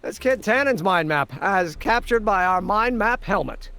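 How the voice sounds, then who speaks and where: A man's voice: sits high, expressive, measured pace, bright, forceful tone, full character voice. A man voicing an eager, earnest young amateur scientist in a video game, explaining things precisely and with enthusiasm. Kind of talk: video game character acting.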